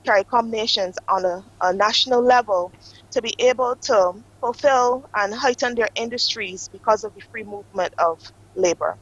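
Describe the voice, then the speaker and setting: A woman, a guest on a morning TV show, explaining an application process in a steady, informative way and sometimes correcting herself. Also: a little high, moderate pace, breathy edge, muffled recording. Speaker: guest from an accreditation office. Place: TV studio.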